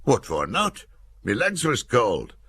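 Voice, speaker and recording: A man's voice: mid-range voice, wildly swooping pitch, slow, fairly bright tone, breathy edge, voice pushed up, heavily acted. A man voicing a blunt, cocky British soldier who grumbles and swears in Cumbrian dialect. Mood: grumbling, indignant. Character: young British soldier Nick, blunt, cocky Cumbrian veteran of jungle war.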